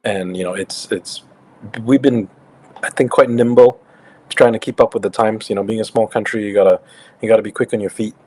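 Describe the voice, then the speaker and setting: A man's voice: fairly low pitch, brisk, breathy edge, poor audio. A man in his late thirties from Singapore, with a slightly English-tinged accent, chatting casually as a guest over a video call and often restarting his sentences. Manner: relaxed, with frequent fillers and restarts. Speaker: guest, crypto company co-founder. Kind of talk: casual interview chat.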